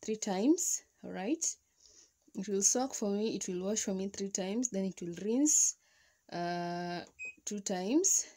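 A young woman talks into a phone held close while she works at home. Her voice sits in a middle pitch, and she explains each step in a loose, chatty way. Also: lively intonation, takes it slowly, dark tone. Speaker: vlogger. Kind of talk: casual home how-to demonstration. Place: indoors at home beside a washing machine.

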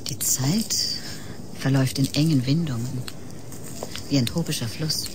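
An actress playing a detached, otherworldly woman in a science-fiction radio drama, solemn and enigmatic, with breathy whispers. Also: extremely low pitch, normal speed, noisy background. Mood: enigmatic, calm. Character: detached, otherworldly woman who scorns human emotion.

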